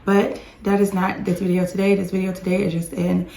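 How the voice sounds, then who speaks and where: A woman in her thirties chats away in a rambling, friendly vlog, her voice a little hoarse from cheering. She sits in an echoey room at home, and her pitch stays in a middle range. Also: flat delivery, a little fast, warm tone, slight background noise. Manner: chatty, rambling with restarts. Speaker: homeschooling mom vlogger. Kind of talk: casual chatty vlog. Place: home with dishwasher and dryer running.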